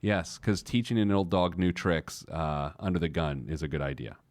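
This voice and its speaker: A man's voice: low-pitched, normal speed, a little mellow, no echo, studio quality. An American man hosting a personal finance show in a conversational, emphatic way, acting out objections and answering them.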